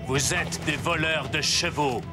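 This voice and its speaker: A man's voice: a little high, steady tone, measured pace, natural voice. A man voicing a stern, possessive, threatening horse hunter in a French cartoon dub. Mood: angry, threatening. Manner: imperious, threatening. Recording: music behind the voice.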